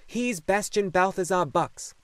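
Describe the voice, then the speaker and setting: A man's voice: fairly high pitch, animated, normal speed, no echo, pitched above the performer's own voice. A man voicing an earnest, loyal young boy hero in a storybook, with emphatic delivery. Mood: earnest pleading.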